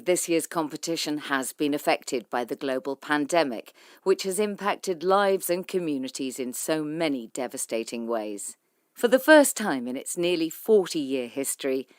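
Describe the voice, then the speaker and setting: A woman presenting a radio arts programme, reading scripted narration in a measured, expressive way. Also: deep voice, no room sound, studio quality.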